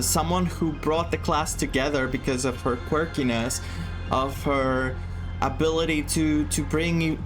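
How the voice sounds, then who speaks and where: A man's voice: a little high, a bit bright, rough recording. A man commenting casually on a game playthrough, English not his first language, rambling and searching for words. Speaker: gaming streamer. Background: game music.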